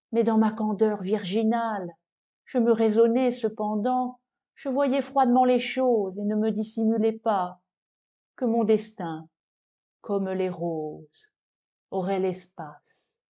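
A woman voicing a vain, naive cheese in a recited poem, lamenting its fate in a plaintive, theatrical way with dramatic pauses. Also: a little high, warm tone, lo-fi sound.

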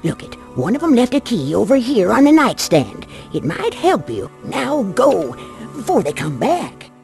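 A woman's voice: on the high side, huge pitch swings, unhurried, gravelly, darkish tone, faint music behind, heavily acted. An actress playing a wheedling old backwoods mother with a Southern US accent in a video game, folksy and pleading. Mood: urgent, scheming. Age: older. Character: old backwoods mother, wheedling, held captive, secretly sinister.

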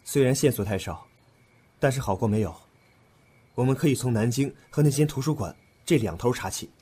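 A man acting in a Chinese audio drama as an earnest, thoughtful young man, expressive and musing. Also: mid-range voice, a little fast, a little hiss or hum, close to an everyday voice. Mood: pondering.

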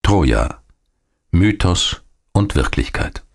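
Very deep-voiced male documentary narrator. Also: expressive, slow pace, creaky, slight background noise. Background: music.

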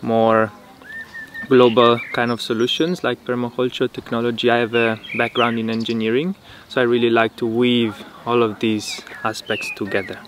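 A young man talks calmly about his work, his voice sitting at a middle pitch. He is recorded outdoors in a dry rural field, where the countryside birds and insects come through clearly behind him. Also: moderate pace, slight background noise. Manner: relaxed, thoughtful, with frequent fillers. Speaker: permaculture teacher. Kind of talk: casual interview talk.

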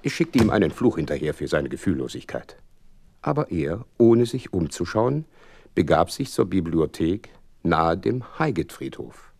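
A courteous, sentimental gentleman narrating a detective radio play. The voice is very low, with a creaky, husky grain. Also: big pitch swings, moderate pace, dry recording, full character voice. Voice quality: gravelly, husky. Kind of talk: radio drama narration and dialogue.

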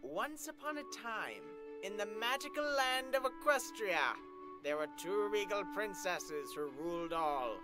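A man's voice: sits high, expressive, a little slow, music in the background. A man voicing a chatty, easily flustered young pony in a casual cartoon parody, with a rambling, awkward delivery. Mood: flippant, rambling.

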